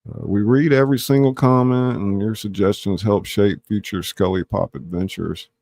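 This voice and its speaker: A man's voice: lowish voice, fairly even intonation, normal speed, darkish tone, no echo. A man hosting a live reading, talking in a folksy way with plenty of y'all, moving between narration and chat. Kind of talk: warm-up and sign-off chat, scripted narration.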